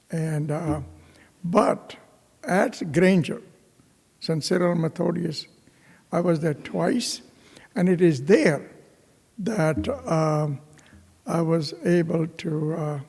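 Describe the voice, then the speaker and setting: A male priest from Pakistan tells his story from the pulpit at an unhurried, halting pace, full of fillers and restarts. His voice sits a little high and is rough and gravelly. It rings out with long reverb across a church. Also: a little mellow. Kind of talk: homily with personal storytelling. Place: parish church.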